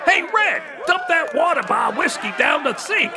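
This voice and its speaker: Creaky jumpy underworld bar owner, hepcat talk, old radio comedy style, busy crowd behind. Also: male voice, extremely high pitch, very animated, shouting, very noisy, heavily acted. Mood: panicked. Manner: fast-talking hepcat slang, theatrical.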